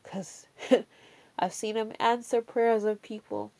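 A woman's voice: on the high side, flat delivery, takes it slowly, gravelly, a little mellow. A woman with a Jamaican accent giving a casual, earnest faith talk. She speaks emphatically, repeats key phrases for weight, and often adds "you know" and "all right".

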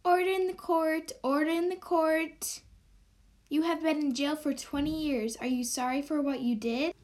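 A woman's voice: high-pitched, steady tone, normal speed, a little mellow, pitched above the performer's own voice, lightly acted. A woman playing a stern, scolding judge in an amateur radio drama. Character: stern judge in court, sceptical but grudgingly lenient.

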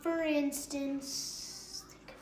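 A woman's voice: sits high, flat delivery, extremely slow, a little mellow, reverberant, a little hiss or hum. A young woman in a family chat, playful and jokey, tossing in asides.

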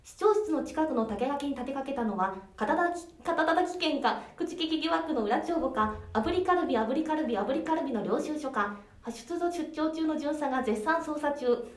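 A woman's voice: high-pitched, lively intonation, normal speed. A woman voice actor taking part in a casual game show, articulating carefully. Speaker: voice actor, contestant on a web show. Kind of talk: casual game-show chat and tongue-twister recitation. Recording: echoey room.

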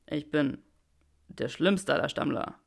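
A woman's voice: deep voice, slow pace, vocal fry, pitched below the performer's own voice, heavily acted. A woman voicing an awkward young male wizard who speaks earnestly and stammers through a tender confession. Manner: stammering, earnest, self-mocking.

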